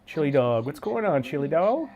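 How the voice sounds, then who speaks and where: A man's voice: a little high, very animated, moderate pace, darkish tone. Congested man chatting on a live stream. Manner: laid-back, slangy, says dude and man a lot. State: congested from a cold, sniffly. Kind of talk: casual live stream chat, greeting viewers.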